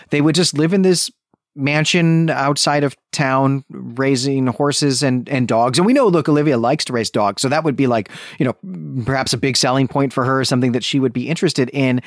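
A man's voice: medium pitch, no room sound, studio quality. A man co-hosting a casual literary discussion, speaking informally and emphatically and often checking for agreement. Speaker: podcast co-host.

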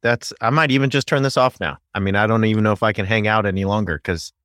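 A man's voice: fairly low pitch, fast talker, a bit bright, no room sound, studio quality. A middle-aged man hosting a podcast, chatting in a friendly, joking way with hesitant fillers. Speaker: podcast host. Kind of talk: casual podcast chat.

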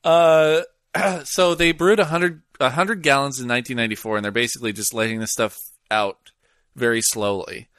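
A man co-hosting a podcast, chatting casually and rambling a little as he reads out a news item, with many pauses and fillers. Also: mid-range voice, steady tone, fairly bright tone, clean tone, no room sound, studio quality. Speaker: podcast co-host. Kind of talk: casual chat.

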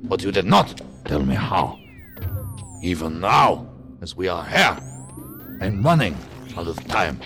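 A man's voice: sits low, sing-song, measured pace, long pauses, fairly bright tone, full character voice. An actor playing an earnest, anxious male follower who keeps asking questions. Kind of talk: audio drama acting. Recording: music underneath.

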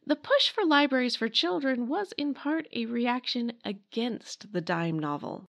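A woman's voice: a little high, animated, measured pace, gravelly, fairly bright tone. A woman hosting a podcast, reading scripted history narration in a wry, emphatic way. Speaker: podcast host.

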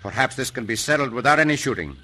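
An actor playing a gallant, calm cowboy hero in an old-time radio western, courteous and firm. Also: male voice, medium pitch, quick pace. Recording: noisy old radio show recording.

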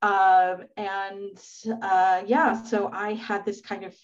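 A woman's voice: medium pitch, flat delivery, takes it slowly, lo-fi sound. A woman being interviewed on a podcast, speaking thoughtfully and searching for words with fillers and small laughs. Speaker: podcast guest. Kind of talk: conversational interview.

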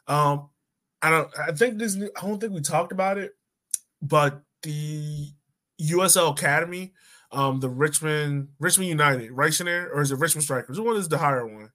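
A man's voice: on the high side, normal speed, pushed and bright. A man hosting a podcast, chatting casually about sports. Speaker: podcast host.

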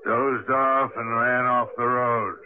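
An actor playing a concerned state trooper with a businesslike, questioning manner in a radio drama. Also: male voice, mid-range voice, little pitch movement, takes it slowly, lightly acted. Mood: concerned, official. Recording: tinny, very noisy old radio broadcast.

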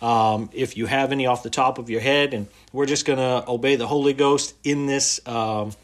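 A man hosting a podcast interview, hesitant, with many pauses and fillers. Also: medium pitch, steady tone. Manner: hesitant, many ums and uhs. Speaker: podcast host.

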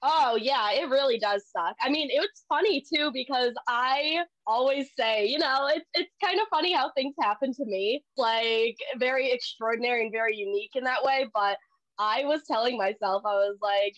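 A woman's voice: on the high side, normal speed, dry recording. A woman in her twenties, a podcast guest, telling stories in casual chat with many "like" fillers, a little stuffy from a cold. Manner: storytelling, animated, many "like" fillers. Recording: dull, dry lo-fi remote audio.

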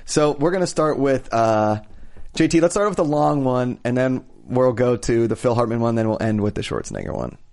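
A man's voice: medium pitch, sing-song, normal speed, vocal fry, darkish tone. A man hosting a casual podcast chat, talkative and laughing often. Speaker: podcast host.

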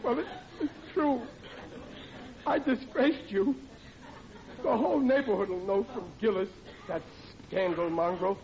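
Flustered family man, high-pitched, stammering, blustering, self-pitying, old radio sitcom, dull noisy sound. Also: measured pace, pauses often, a little mellow, some chatter behind. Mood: pleading. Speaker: radio comedy actor. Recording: muffled, noisy vintage radio with audience.

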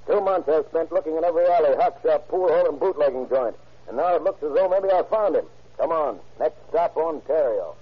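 A man's voice: a little high, animated, a little fast, breathy edge. An actor playing a driven, impatient male police lieutenant in an old radio crime drama. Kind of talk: 1940s radio acting. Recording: dull old radio recording with music.

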